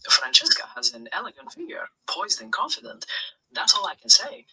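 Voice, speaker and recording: A woman's voice: a little high, huge pitch swings, normal speed, vocal fry, pushed and bright, slightly rough, rough recording. A synthetic woman's voice of an AI chatbot, replying in a polite, stern and formal way. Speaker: AI chatbot persona. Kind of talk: formal scripted replies.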